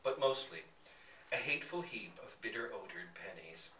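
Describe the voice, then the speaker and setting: Male narrator for live story reading: bright, highly animated, theatrical phrasing, picked up from a distance in a reverberant room. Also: normal speed. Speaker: reader at a live literary reading. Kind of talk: expressive scripted story narration. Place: bookstore public reading. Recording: echoey room, distant mic, faint background noise.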